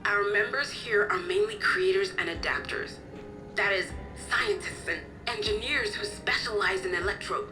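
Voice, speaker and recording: An actress voicing a composed, articulate resistance officer in a video game, explaining things formally and with measured care. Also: female voice, medium pitch, lively intonation, over music, close to an everyday voice. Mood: composed, informative. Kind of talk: video game cutscene acting.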